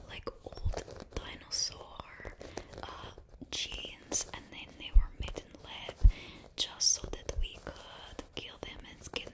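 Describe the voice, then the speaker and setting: A woman's voice: on the high side, poor audio. Woman doing a whispering villain stylist with a fake Russian accent. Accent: put-on Russian. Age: young adult. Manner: close whispering, drops little words. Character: young woman stylist to supervillains, fawning, cheerfully ruthless. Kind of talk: whispered ASMR roleplay. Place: small indoor studio with backdrop. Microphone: close mic, face near camera.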